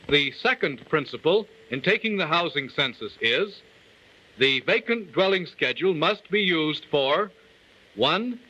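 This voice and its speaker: A man delivering formal, measured scripted narration in the manner of a 1940s instructional film. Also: a little high, sharp tone. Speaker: narrator of a government training film. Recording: dull, lo-fi 1940 film soundtrack.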